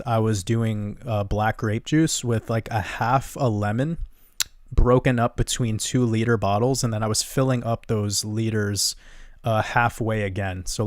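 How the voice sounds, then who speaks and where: A young man with a gentle voice hosting a livestream, casually chatting and answering viewer comments in a relaxed, rambling way with easy laughs. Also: medium pitch, no room sound, studio quality. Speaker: livestream host.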